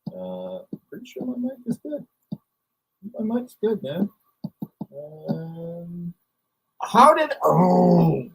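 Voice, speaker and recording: A man's voice: sits high, very animated, drawn-out words, creaky, long pauses, breathy edge, lo-fi sound. A man, hosting a livestream in casual, rambling chat. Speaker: livestream host.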